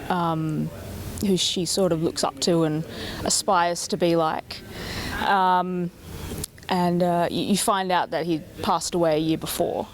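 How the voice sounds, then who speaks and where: A woman, a voice actor speaking slowly with many fillers in an interview. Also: fairly low pitch, fairly even intonation. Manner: slow, full of fillers. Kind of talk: casual interview.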